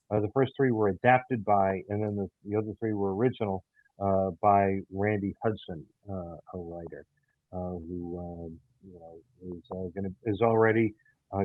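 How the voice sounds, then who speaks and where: A man answering interview questions as a writer, explaining himself in a halting way with many pauses. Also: fairly low pitch, no echo, narrow, tinny audio. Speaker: audio theater writer and creator.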